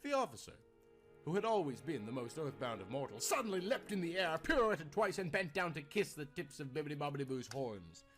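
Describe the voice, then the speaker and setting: A man narrates a story in a theatrical, scripted style. His voice is a little high and very varied in pitch, with music behind it. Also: very animated, brisk, a bit bright.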